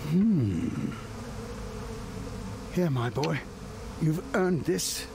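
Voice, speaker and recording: A man's voice: a little high, lively intonation, extremely slow, gravelly, noisy background, heavily acted. A man voicing a nervous, fussy, emotional chef in a cartoon video game, with a hesitant and dramatic way of talking. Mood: fussy, eager.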